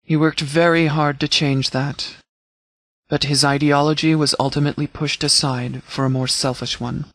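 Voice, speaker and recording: An actor playing a stern but caring military commander in a video game, speaking in a measured, formal, authoritative way. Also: male voice, a little high, little pitch movement, fairly bright tone, no echo, close to an everyday voice. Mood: grave, reflective. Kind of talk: scripted game dialogue.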